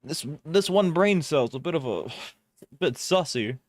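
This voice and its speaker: A man's voice: a little high, animated, normal speed, no echo, studio quality. A young man chatting casually with his live-stream chat while drawing, laughing often and reacting to comments.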